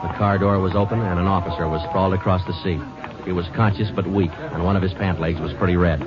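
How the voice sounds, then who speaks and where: Actor as low-voiced, deadpan American radio detective. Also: male voice, fast, natural voice. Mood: matter-of-fact. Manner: clipped, deadpan. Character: terse, no-nonsense male police sergeant detective narrating his case. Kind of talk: 1940s radio crime drama acting and narration. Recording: muffled old radio recording, loud music.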